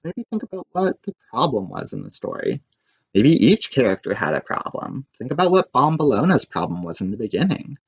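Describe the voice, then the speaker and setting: Male reader, children's story narration, gravelly creak, expressive, dry but slightly muffled lo-fi recording. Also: medium pitch, brisk, dry recording.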